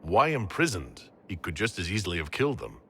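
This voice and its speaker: An actor playing a calm, level-headed leader in a fantasy game, speaking in a measured, questioning way. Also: male voice, sits low, a little hiss or hum, full character voice. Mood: attentive. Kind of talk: video game cutscene acting.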